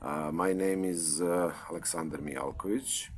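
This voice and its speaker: A man with a Serbian accent introduces his poems haltingly, with plenty of filler sounds, then settles into a steady reading. His voice sits very low. He is a school librarian speaking from inside a library. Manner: hesitant with many uh fillers, then steady recitation.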